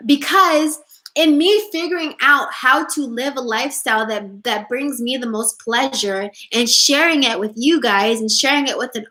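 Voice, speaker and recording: A woman's voice: sits high, pushed and bright. A woman in her twenties chatting casually in a livestream, answering viewer questions in an upbeat way with lots of "like"s. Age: 20s. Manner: chatty and upbeat, many "like"s, reads out viewer comments. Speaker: spiritual coach and vlogger.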